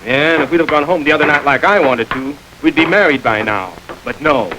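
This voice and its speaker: A man's voice: fairly high pitch, fairly quick, noisy background, close to an everyday voice. An actor playing a suspicious, streetwise young man and fiancé in a 1930s crime film. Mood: wary. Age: young adult.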